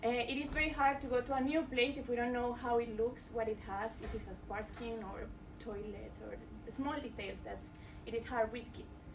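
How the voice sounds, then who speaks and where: A woman with a Mexican accent presents a project. She is hesitant and fills her pauses often. Her voice is somewhat high, and she is heard at a distance from the mic. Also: steady tone, gravelly. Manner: hesitant, with frequent fillers. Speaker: student presenter. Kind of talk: scripted pitch presentation. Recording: voice from far off the mic.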